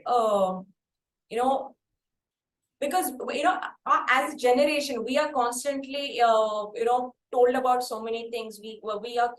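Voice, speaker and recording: Echoey, higher-voiced woman interviewing. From India. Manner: halting, wordy questions with many hedges. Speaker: interview host. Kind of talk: conversational interviewing. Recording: echoey room with light background noise.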